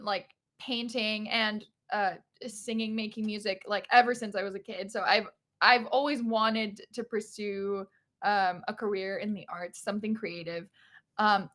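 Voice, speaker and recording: Bright giggly woman in her twenties. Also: on the high side. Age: 20s. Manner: giggly, hesitant with fillers. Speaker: artist guest. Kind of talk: casual interview chat.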